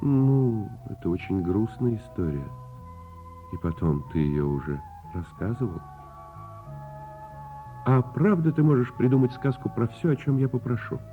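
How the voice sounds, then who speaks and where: Man acting as small child, eager and pleading, lifted pitch, radio play, slightly dull recording. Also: very animated, measured pace, pauses often, soft background music. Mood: wistful. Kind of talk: radio play bedtime dialogue.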